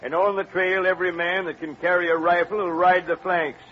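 Seasoned frontier trail boss, stern and commanding, high-set voice, tinge, muffled vintage radio sound. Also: male voice, level tone, fairly quick. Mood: stern, grave. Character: gruff, seasoned, commanding wagon train guide. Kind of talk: old-time radio western acting. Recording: dull vintage radio recording, noisy background.

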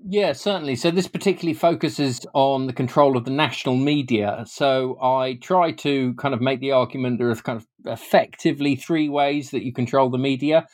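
A man with a British accent and a medium-pitched voice gives an informal, explanatory interview as a guest author. He talks in a halting way, often doubling back on words before carrying on. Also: a little mellow. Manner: hesitant, often repeating words mid-sentence.

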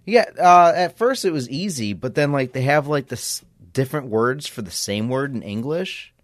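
A man's voice: medium pitch, lively intonation, normal speed, dry recording. A man hosting a casual podcast, blunt and sardonic, swearing casually. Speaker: podcast host.

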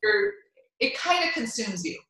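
A woman's voice: extremely high pitch, very animated, unhurried, sharp tone. A woman whose first language is Spanish, talking in an upbeat, chatty way with many fillers and restarts in an interview. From Cuban family. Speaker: golf media executive. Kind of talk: interview answers. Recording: echoey room.

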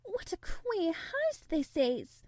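Woman voicing a high-pitched, wide-eyed curious young girl. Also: big pitch swings, slow pace, darkish tone, no room sound, exaggerated voice. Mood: mystified. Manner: gasping, faltering, emphatic questions. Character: frightened, curious young girl, forthright and wondering.

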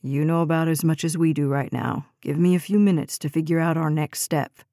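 A woman voicing a somber, steady male police trooper in an audiobook, restrained and firm. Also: a little fast, dry recording, clean studio sound. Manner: low-key, firm, restrained.

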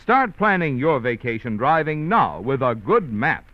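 A man's voice: mid-range voice, big pitch swings, a little slow, no echo. An American man announcing commercials and credits in a polished, formal 1940s radio style. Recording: dull vintage radio recording with music.

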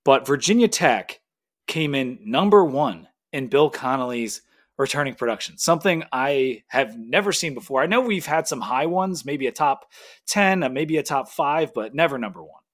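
A man's voice: medium pitch, lively intonation, moderate pace. A man hosting a sports podcast, chatting casually and enthusiastically.

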